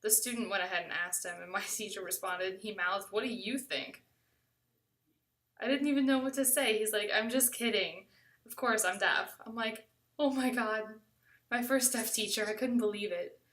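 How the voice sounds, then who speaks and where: American woman, bright forward voice, conversational first-person storyteller, recorded a little away from the mic. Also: mid-range voice, normal speed, recorded from a distance.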